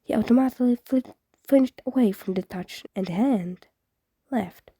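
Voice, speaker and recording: A woman's voice: a little high, moderate pace, dark tone, husky, no room sound, studio quality. A woman reading a story aloud as an amateur audiobook narrator, occasionally stumbling and correcting herself. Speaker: fan fiction reader.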